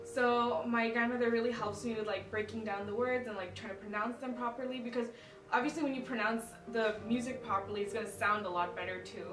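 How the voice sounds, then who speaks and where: A woman's voice: on the high side, fairly even intonation, fairly quick, over music. Teenage girl, American accent, casual and chatty, echoey room, distant mic. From United States. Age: teens. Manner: chatty, lots of "like". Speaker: student interviewee. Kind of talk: casual interview.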